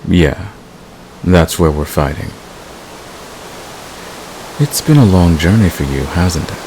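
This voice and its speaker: A man playing a smug, seductive fantasy villain who is secretly in love, in an intimate, teasing and unhurried audio roleplay. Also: sits low, expressive, background noise. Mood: cool, detached. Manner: teasing, unhurried, murmuring to a lover. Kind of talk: romantic audio roleplay, intimate ASMR acting.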